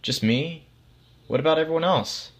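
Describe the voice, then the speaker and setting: A man's voice: fairly high pitch, moderate pace, voice pushed up, close to an everyday voice. A man voicing a bewildered middle-aged man who has just died and keeps questioning, in acted story dialogue. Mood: incredulous. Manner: puzzled, questioning.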